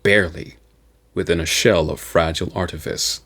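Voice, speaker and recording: A man giving a dramatic, theatrical narration of science fiction in a deliberate manner, as an amateur audiobook reader. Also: low voice, steady tone, fairly bright tone, a little hiss or hum.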